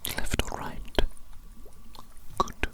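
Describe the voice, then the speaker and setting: Whispering woman in ASMR medical roleplay. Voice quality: breathy unvoiced whisper. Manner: slow, repetitive, soothing instructions with pet names. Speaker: ASMR roleplayer playing a doctor giving a hearing test.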